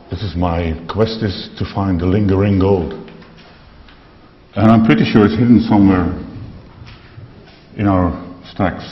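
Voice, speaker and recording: A man's voice: low-pitched, a little hiss or hum. A man with a Dutch accent playing a male medieval royal butler who guards knowledge, mock-solemn with restarts, in a lecture hall. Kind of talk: costumed role-play talk. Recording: echoey dull lecture hall sound.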